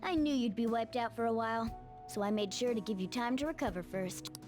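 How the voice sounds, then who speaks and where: An actress playing a smug, composed yet quietly caring young woman in a video game, speaking with self-assurance. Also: a little high, fairly quick, music in the background, lightly acted. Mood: pleased.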